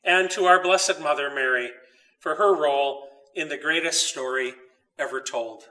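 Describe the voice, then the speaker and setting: Male storybook reader, higher-pitched and crisp-edged bright tone, deliberate phrasing, recorded a little off the mic. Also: far from the mic, low-quality recording. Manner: measured, deliberate storytelling with pauses. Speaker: author reading own story. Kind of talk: children's storybook read aloud. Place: old dairy barn.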